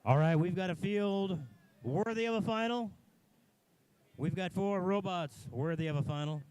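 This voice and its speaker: A man giving live play-by-play at a robotics competition, briskly calling out scores and action. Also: high voice, a little mellow, clean tone, no room sound. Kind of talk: live event commentary. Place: competition venue.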